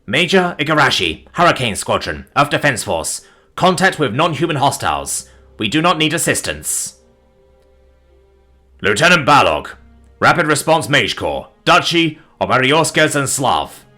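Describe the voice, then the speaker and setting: Man acting forceful military officer reporting in, music behind. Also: mid-range voice, very animated, normal speed, pitched above the performer's own voice. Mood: urgent, firm. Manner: clipped, forceful, projected. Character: commanding male military officers reporting in by radio.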